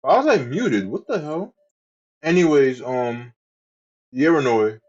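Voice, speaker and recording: A man's voice: medium pitch, big pitch swings, takes it slowly, darkish tone, highs cut off. A man streaming live, chatting casually to his viewers in a rambling, profane way and often repeating himself. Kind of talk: casual livestream chat.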